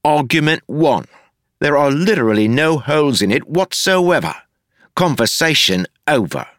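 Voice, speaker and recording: A man voicing a cheeky, grumbling young male constable with rough, folksy speech. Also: on the high side, sing-song, normal speed, a bit bright, no echo, studio quality, voice pushed up.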